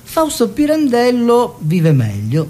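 An actor playing a self-satisfied, worldly art-collector husband who tells stories with wry emphasis in a radio play. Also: male voice, extremely high pitch, wildly swooping pitch, slow, mellow, a little hiss or hum, full character voice. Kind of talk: theatrical radio storytelling in dialogue.

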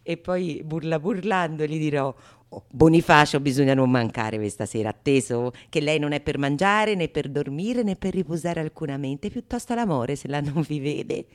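A woman's voice: deep voice, animated, moderate pace. A woman playing a sly, bawdy schemer in a Renaissance comedy monologue, teasing and conspiratorial in old-fashioned theatrical language. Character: sly bawdy scheming go-between, plotting pranks on a foolish lover. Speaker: stage actress performing all parts.

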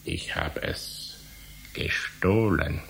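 An actor in a German radio drama playing an older uncle, secretive and wryly self-important, speaking in a hushed, conspiratorial way. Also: male voice, drawn-out words, slight background noise, poor audio. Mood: wry, confiding.

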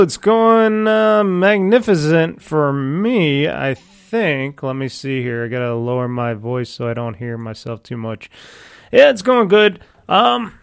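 A man's voice: fairly high pitch, creaky, smooth, no room sound, studio quality. A man hosting his own podcast alone, talking in a loose, rambling way and often correcting himself. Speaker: podcast host. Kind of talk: casual solo podcast talk. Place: home studio.

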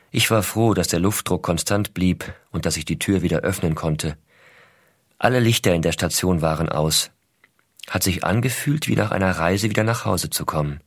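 A man playing an American astronaut father, reading letters to his small son in a measured, reflective way. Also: low voice, dry recording, studio quality. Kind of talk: scripted reading of personal letters.

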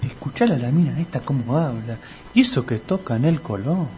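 A man's voice: mid-range voice, expressive, brisk, warm tone, faint music behind, natural voice. An actor with a Rioplatense Argentine accent playing a nosy, encouraging male friend who butts in colloquially in a comedic radio play. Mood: meddling, urging. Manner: colloquial, butting in. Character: Tito's male sidekick, chummy, nosy, meddling and encouraging.

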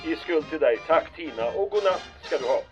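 An actor playing an anxious, eager-to-please small-town mayor in a radio play. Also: male voice, a little high, animated, highs cut off, over music, natural voice. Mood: fretful.

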